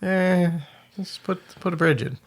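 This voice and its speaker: A man's voice: high voice, measured pace, vocal fry. A man co-hosting a community radio show, chatting casually about local news in a joking, laid-back manner. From Canada.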